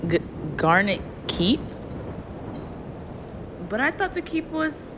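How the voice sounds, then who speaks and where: Nervous, halting female knight, acted by a woman. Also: a little high, very animated, background noise. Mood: shy, surprised. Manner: halting, flustered. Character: nervous, respectful young female knight on watch. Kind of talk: fantasy audio drama acting.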